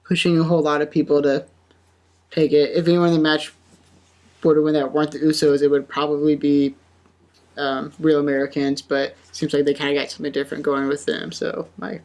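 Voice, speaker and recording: A woman with a low-pitched voice speaks as a podcast panelist. She chats casually, in an informal group discussion. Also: little pitch movement, fairly quick, darkish tone.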